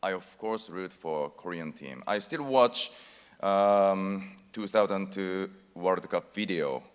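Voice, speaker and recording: A very low-voiced man addresses a large conference hall. He tells a personal story at a measured pace, with pauses, and the long hall reverb trails behind his words. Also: smooth. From Japan. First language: Japanese. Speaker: actor giving a conference talk. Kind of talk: prepared conference speech.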